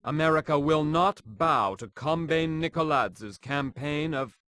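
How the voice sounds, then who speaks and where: President speech in a game, firm and declamatory, bit higher pitch, dry audio. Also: male voice, measured pace, fairly bright tone, dry recording. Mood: resolute. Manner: declamatory, resolute. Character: resolute male American president making a statement. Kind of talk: political speech acting in a video game.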